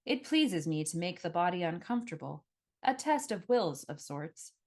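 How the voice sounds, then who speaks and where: A woman plays a demon wearing a man's body in a fantasy audiobook. The speech is formal and deliberate, cold and threatening, like a predator that feels no need to hurry. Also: on the low side, very animated. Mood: cold, smug. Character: menacing demon possessing a man, cold and formal.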